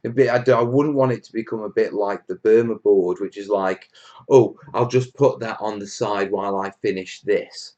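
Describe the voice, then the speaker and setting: A man's voice: on the low side, moderate pace, dark tone, muffled recording. A man from Wales hosting a casual live Q&A stream, chatting to viewers in a blunt, jokey, matey way. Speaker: host of a hobby livestream.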